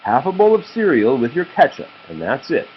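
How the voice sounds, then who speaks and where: A man voicing a brisk, firm mother pig in a children's storybook. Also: medium pitch, wildly swooping pitch, dull audio, a little hiss or hum.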